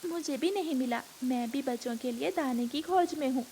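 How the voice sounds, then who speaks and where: A woman's voice: high voice, normal speed, warm tone, slight background noise. A woman voicing a caring, determined mother bird in a cartoon, speaking earnestly and with some worry.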